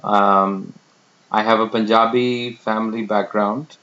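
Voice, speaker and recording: A man in his fifties from India introducing himself as a real estate professional, talking unhurriedly with many fillers and pauses. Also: on the low side, steady tone, bright, forceful tone. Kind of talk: self-introduction monologue. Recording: dull lo-fi sound.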